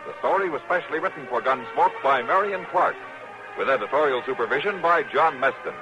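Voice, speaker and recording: Male announcer, American, mid pitch, very varied intonation, formal and emphatic, scripted vintage radio style. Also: animated, fairly quick, over music. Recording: muffled vintage radio recording.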